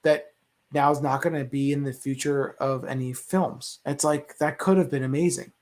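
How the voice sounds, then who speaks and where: A man's voice: mid-range voice, steady tone, normal speed, darkish tone. A man on a panel chatting casually and with firm opinions about films, building his arguments with rhetorical questions. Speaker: panelist on a movie discussion show. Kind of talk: casual opinionated film chat.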